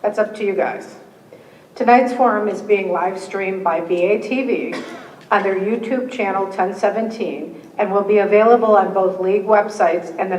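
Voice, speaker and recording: A woman, moderating a candidate forum, reading the rules with friendly joking asides and a slightly hoarse voice. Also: mid-range voice, a little hiss or hum. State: slightly hoarse, losing her voice. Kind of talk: reading scripted opening rules with casual asides. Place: meeting room with a live audience. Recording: echoey hall, distant mic.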